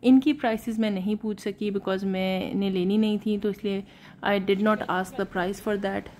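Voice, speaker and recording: A woman narrating a shopping vlog in a relaxed, chatty way, often pausing on filler sounds and slipping in English phrases. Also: mid-range voice, a little hiss or hum. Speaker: shopping vlogger.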